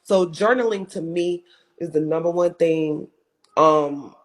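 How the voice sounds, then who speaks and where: A woman's voice: deep voice, measured pace. A woman with a strong voice giving earnest advice in a casual chat, repeating her points for emphasis. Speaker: guest in livestream chat.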